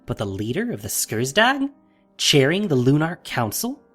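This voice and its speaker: A man narrating a scripted audio drama in an expressive, theatrical manner. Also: a little high, normal speed, soft background music.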